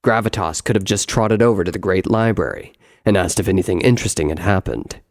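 A man doing a deep, gravelly, grave commanding stallion. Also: animated, brisk, dry recording, clean studio sound. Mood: grave, firm. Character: grave, commanding male pony, a strategic royal brother.

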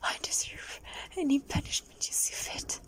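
A young adult woman performing a solo audio roleplay in a soft, breathy, pleading manner, often dropping into a whisper. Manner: slow, drawn-out delivery with frequent whispering.

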